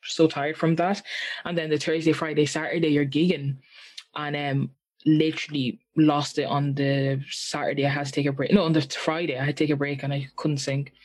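A young man with a somewhat high voice chats freely about his singing life. He tells his story in an animated, rambling way, full of fillers. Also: normal speed. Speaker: singer and guest. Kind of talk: casual chat.

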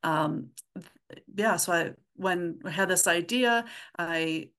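A woman speaking as an interview guest in a casual, halting way, with thoughts that trail and pick up again. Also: on the low side, a bit bright. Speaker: podcast guest, comedy audio drama writer.

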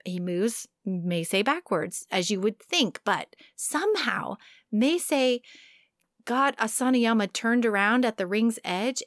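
A woman's voice: medium pitch, fairly bright tone, no echo, studio quality. A woman co-hosting a sumo podcast, giving detailed, explanatory commentary on each bout.

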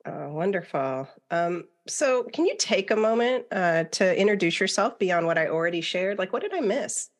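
A woman's voice: lowish voice, expressive, moderate pace, hardly any pauses. A woman hosting a podcast, reading a guest introduction and asking friendly, encouraging questions. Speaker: podcast host, interviewer. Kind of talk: reading an introduction, then conversational interviewing.

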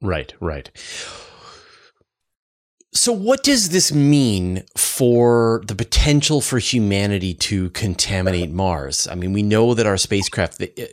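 A man with a somewhat deep voice hosts a science interview. He talks informally and curiously, thinking through his questions in a conversational style. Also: very animated, takes it slowly. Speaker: interviewer, host.

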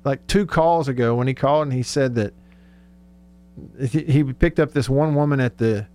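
A man's voice: medium pitch, moderate pace, a little mellow. A man hosting sports talk radio in a casual, conversational, informal way.

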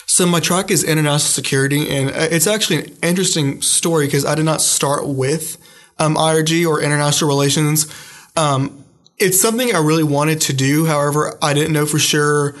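A man's voice: fairly high pitch, brisk, a bit bright. A young man, a university student, answering interview questions casually with many fillers and restarts. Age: young adult. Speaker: student guest.

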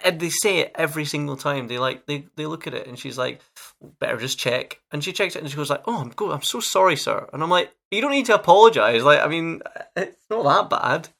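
A man's voice: fairly high pitch, expressive, brisk, creaky, a bit bright. A 27-year-old man, hosting a podcast and telling chatty stories that stumble over themselves. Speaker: podcast host. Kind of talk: casual chat.

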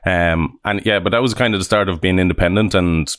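A man's voice: deep voice, fairly quick, a bit bright, no room sound, studio quality. An Irish man in his thirties, a developer guest chatting casually in an interview, rambling with restarts and self-deprecating asides. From Ireland.

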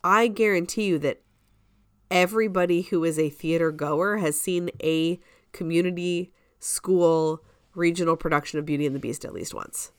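A woman's voice: on the low side, moderate pace, smooth, dry recording, studio quality. A woman co-hosting a podcast in casual conversation, sharing opinions in an informal, fannish way. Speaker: podcast co-host. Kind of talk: casual chat about musical theatre.

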